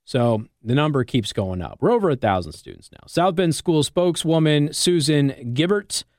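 A man's voice: on the high side, animated, normal speed, darkish tone, dry recording, clean studio sound. An American man speaking as a radio talk show host, explaining things conversationally and often checking that listeners follow. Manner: explanatory, checks in with "okay?" and "all right?". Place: radio studio. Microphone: close studio microphone.

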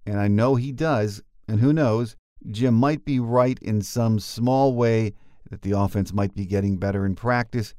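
Low-voiced man hosting a sports podcast. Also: darkish tone, clean tone, no echo, studio quality. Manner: earnest, measured, fan-like opinion. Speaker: podcast host. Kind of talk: scripted sports commentary and interview.

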